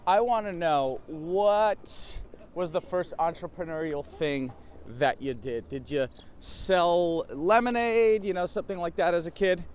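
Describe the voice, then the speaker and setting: A man's voice: high-pitched, unhurried, clean tone. A man hosting an interview show, upbeat and chatty, often correcting himself mid-sentence. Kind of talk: casual interview. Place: outdoors in a city, in a vehicle.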